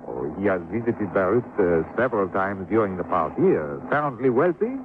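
An actor playing a courteous, formal and evasive police commissioner with a French accent in a 1950s radio drama. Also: male voice, on the low side, big pitch swings, fairly quick. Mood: discreet. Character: suave, formal male police commissioner in Beirut. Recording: tinny, slightly noisy 1950s radio recording.

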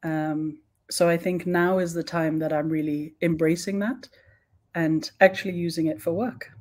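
A young woman speaking as a guest expert in an online talk, thoughtful, pausing often with ums and restarting her sentences. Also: fairly low pitch, fairly even intonation, darkish tone. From born in Sweden, raised in several countries.

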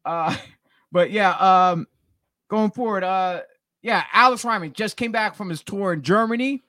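A man in his forties co-hosts a casual talk show with a joking, bantering manner. His voice sits slightly high for a man, recorded dry and close. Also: moderate pace, bright, forceful tone, no echo. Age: 40s. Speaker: co-host of a wrestling talk show. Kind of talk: casual chat.

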